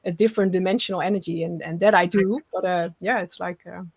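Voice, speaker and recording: A woman's voice: lowish voice, fairly even intonation, gravelly, breathy edge, no room sound. Lady guest, earnest, keeps restarting, dry no-room sound. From Netherlands. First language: Dutch. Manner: earnest, halting with frequent restarts. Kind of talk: casual interview chat.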